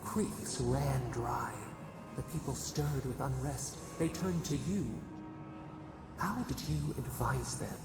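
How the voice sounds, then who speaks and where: An actor voicing an ancient collective nature spirit in a fantasy game, solemn and mournful, with a gravelly, portentous, incantatory delivery that echoes under music. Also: male voice, medium pitch, takes it slowly. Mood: grave, reproachful. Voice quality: gravelly, creaking. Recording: cavernous echo, distant, under loud music.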